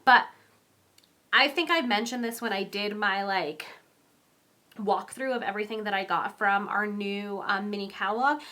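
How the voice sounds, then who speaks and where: Bright-voiced congested woman crafter. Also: mid-range voice, fairly even intonation, normal speed. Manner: chatty and rambling, with many ums; giggly, laughs often. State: congested from a cold. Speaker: card-making crafter. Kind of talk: casual crafting tutorial.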